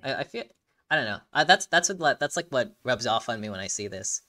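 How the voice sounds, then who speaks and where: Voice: mid-range voice, very animated, brisk, sharp tone. An enthusiastic host giving casual reaction commentary, rambling and often repeating phrases while searching for words. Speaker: video host.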